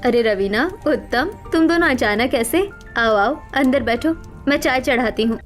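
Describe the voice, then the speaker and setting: Actress as dutiful village wife and mother in cartoon melodrama. High, gentle, earnest, music behind. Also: expressive, moderate pace, close to an everyday voice. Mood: welcoming. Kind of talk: Hindi cartoon family melodrama.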